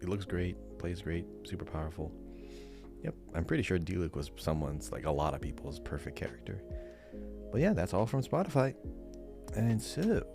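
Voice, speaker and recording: A man with a deep voice and plenty of vocal fry co-hosts a casual podcast. He talks in an informal, chatty way, dropping in short asides as he goes. Music plays clearly underneath his voice. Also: sing-song, normal speed. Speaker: podcast co-host. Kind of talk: casual podcast chat, reading listener answers and commenting.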